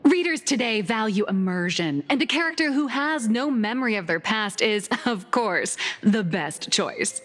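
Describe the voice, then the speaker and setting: A woman's voice: on the high side, expressive, moderate pace, few pauses. A woman playing a theatrical young comic artist in an anime game, lecturing like a self-assured, mock-scholarly teacher. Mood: lecturing, dramatic. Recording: long reverb, music behind.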